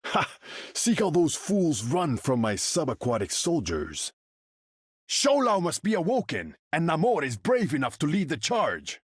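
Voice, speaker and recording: An actor playing a proud, imperious undersea king in a video game, speaking formally and pompously with a husky edge. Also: a little high, wildly swooping pitch, unhurried, dry recording, studio quality, full character voice. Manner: pompous, formal, grandiose, speaks of himself in third person. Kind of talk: video game character voice lines.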